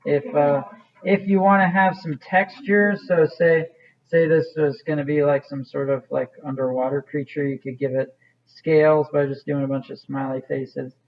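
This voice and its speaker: A man's voice: a little high, steady tone, moderate pace, muffled recording. A man teaching an art class, walking students through a clay project in a casual, rambling way with many fillers. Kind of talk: classroom demonstration.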